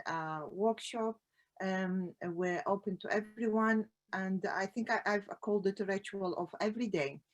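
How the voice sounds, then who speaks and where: Hesitant Iraqi-born woman artist speaking. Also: medium pitch. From Iraq. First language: Arabic. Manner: hesitant, many fillers. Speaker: artist presenting at a conference. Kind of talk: conference talk.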